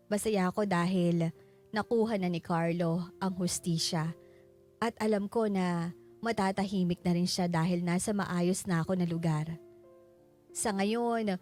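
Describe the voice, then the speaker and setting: An actress playing a sorrowful widow, narrating her letter in an earnest, measured way for a radio drama. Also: medium pitch, a little mellow, lightly acted.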